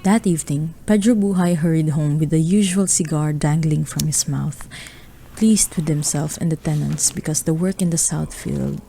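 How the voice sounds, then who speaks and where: A woman's voice: low voice, moderate pace, vocal fry, warm tone, slight background noise, rough recording. A woman narrating a radio drama, reading a scripted story.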